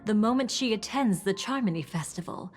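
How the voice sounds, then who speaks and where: A woman's voice: medium pitch, lively intonation, music in the background, close to an everyday voice. Woman acting a sly, teasing femme fatale villainess. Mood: cold, smug. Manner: taunting, drawn-out, theatrical. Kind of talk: video game voice acting.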